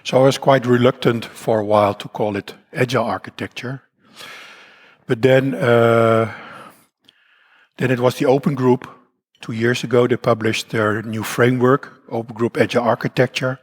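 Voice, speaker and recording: A man from the Netherlands with a Dutch accent and a croaky voice, speaking at a conference in a rambling, self-deprecating way with many fillers and restarts. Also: mid-range voice, fairly even intonation, moderate pace. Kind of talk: conference talk. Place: conference hall.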